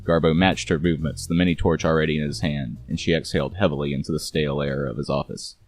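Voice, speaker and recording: A man narrating a scripted noir story in a measured, deliberate way. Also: rumbling low, steady tone, faint music behind.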